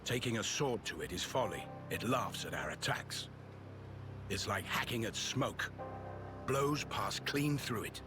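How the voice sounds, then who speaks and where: A man's voice: mid-range voice, lively intonation, measured pace, music in the background, close to an everyday voice. A man voicing a weary, grieving middle-aged guard veteran in a video game, blunt and resigned. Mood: grim.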